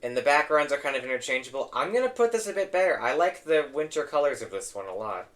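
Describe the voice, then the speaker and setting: A young man hosting a casual tier-list ranking, his voice going hoarse, opinionated and trailing off into low mumbles. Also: sing-song, fast, a bit bright. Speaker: host.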